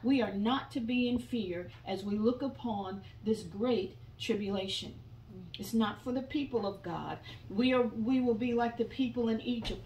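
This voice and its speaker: An older woman delivers a fervent sermon in a small church sanctuary, her voice dark with a gravelly edge. She is caught on a phone out in the pews, far from the pulpit. The room rings around her, with a faint hiss underneath. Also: a little high, roomy sound. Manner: fervent preaching, breaks into song, quotes scripture. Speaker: guest preacher. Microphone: phone camera from the pews, far off.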